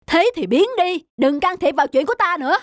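A woman's voice: extremely high pitch, animated, fairly quick, no echo, clean studio sound. A woman voicing a greedy, surly male trader with a haughty, scornful manner in a fairy-tale cartoon.

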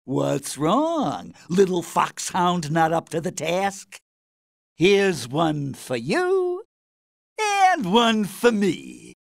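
An actress playing a taunting, sadistic boss fighter in a video game, with mocking, drawn-out delivery. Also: female voice, fairly low pitch, sing-song, creaky, dry recording, studio quality, full character voice.

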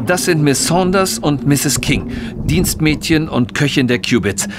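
An actor playing an eager, inexperienced police inspector in an audio drama, earnest and deferential, with a hesitant way of talking. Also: male voice, fairly high pitch, animated, unhurried, background noise. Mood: businesslike. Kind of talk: German audio drama acting.

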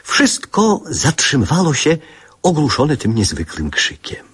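An actor playing an older writer who narrates memories of his youth in a lyrical radio drama, with a husky voice. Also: on the high side, huge pitch swings, measured pace, a bit bright, full character voice. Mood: nostalgic, vivid. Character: older male writer recalling his youth, nostalgic and reflective.

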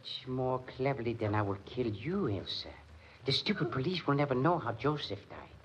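An actor with a German accent playing a lovesick, deranged musician turned murderer, sinisterly tender and theatrical in 1940s radio style. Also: male voice, medium pitch, very animated, normal speed, slightly rough. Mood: gloating. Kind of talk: 1940s radio drama acting. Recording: muffled 1940s radio recording, faint noise.

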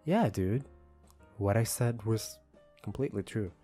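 A man acts out a bashful young male employee with a crush in an ASMR roleplay. The voice is low and very unhurried, soft and hesitant, full of apology. Guitar music plays behind it. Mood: earnest, shy. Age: young adult. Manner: hesitant, soft-spoken, halting. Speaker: voice actor. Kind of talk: ASMR roleplay, intimate one-sided conversation.

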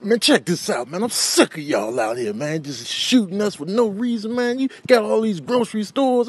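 A man's voice: sits high, a little mellow, dry recording. A man voicing a fed-up, threatening deer, stretching out slangy words for emphasis in a comic animal voiceover. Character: fed-up, vengeful, tough-talking male deer fighting a hunter.

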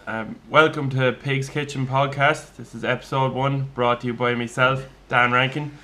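A man in his twenties with an Irish accent, hosting a casual chat in a flat's kitchen, easygoing and quick to chuckle, heard through one room mic. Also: medium pitch. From Ireland. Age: 20s. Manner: easygoing, chuckles often, loose with fillers. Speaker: podcast host. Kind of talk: casual chat, telling his own story. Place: kitchen of a flat, indoors.

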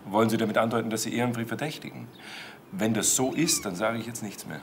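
A man playing a smooth, haughty count in a crime drama, persuasive and self-important. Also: lowish voice, fast, slight background noise. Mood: guarded. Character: smooth-talking, haughty male count and property promoter. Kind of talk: German TV crime drama acting.

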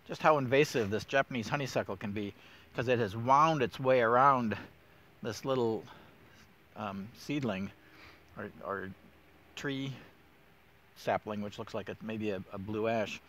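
A man's voice: medium pitch, measured pace, long pauses, a bit bright. A middle-aged man guiding a casual walk through winter woods, enthusiastic and thinking aloud with plenty of fillers. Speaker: woodland steward host. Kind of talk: casual nature walk guide.

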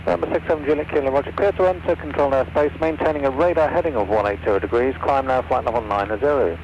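A man's voice: medium pitch, fast. A man speaking as an air traffic controller in clipped phraseology over aircraft radio. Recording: dull, noisy aircraft radio reception.

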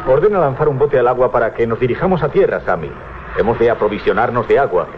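A man's voice: medium pitch, lively intonation, fast, lightly acted. Guy doing a ship captain, bossy n curious, 80s radio play, bit hissy and dull. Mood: commanding, assured. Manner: authoritative, giving orders. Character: bold, curious, commanding male sea captain. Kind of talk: 1980s Spanish radio drama acting. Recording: dull, slightly noisy 1980s radio recording.

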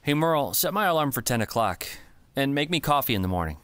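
A man's voice: medium pitch, big pitch swings, quick pace, fairly bright tone, clear, resonant tone. A man acting in a radio play as a home owner, exasperated and swearing at his device. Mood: plain.

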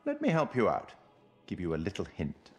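An actor playing an enigmatic, sardonic curator of grim stories, speaking formally and wryly in a theatrical monologue. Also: male voice, low voice, wildly swooping pitch, faint music behind. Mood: teasing, ominous. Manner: formal, wry, deliberate. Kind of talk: theatrical scripted monologue to the viewer.

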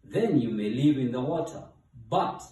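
A man's voice: fairly high pitch, animated, takes it slowly, warm tone, pitched above the performer's own voice. Man playing a thundering storybook god, very echoey. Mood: commanding. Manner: thundering, pompous, emphatic. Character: mighty male god, booming, suspicious, protective. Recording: echoey room, distant from mic.

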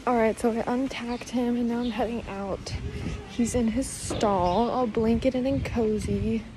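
A woman's voice: on the high side, fairly even intonation, measured pace, over music, low-quality recording. A teenage girl chatting casually in her vlog with plenty of fillers, filmed on a phone held close. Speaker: vlogger. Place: cold indoor riding barn, then bedroom.

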